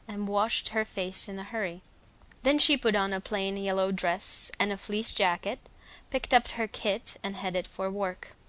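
A woman's voice: mid-range voice, fairly bright tone, no echo. A young woman from Slovakia with a Slovak accent, speaking English as a second language; she reads a passage carefully, then talks with some hesitation. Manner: careful, slightly hesitant with fillers and restarts. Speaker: dialect archive speaker. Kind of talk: reading a set passage, then casual self-introduction.

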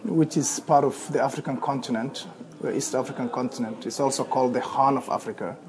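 A man's voice: medium pitch, fairly quick, crowd noise. A man in his thirties from Somalia with a Somali accent, reading a short passage aloud and then talking freely, often hesitating and repeating words. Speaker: accent archive speaker.

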